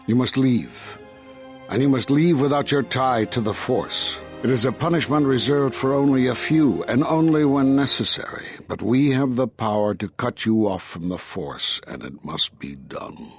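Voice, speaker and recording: A solemn Jedi master in a game drama. He speaks in a grave, formal, measured way, with music behind him. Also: medium pitch, animated. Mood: grave, resolute. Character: stern, solemn male Jedi master passing judgment. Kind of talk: video game drama acting.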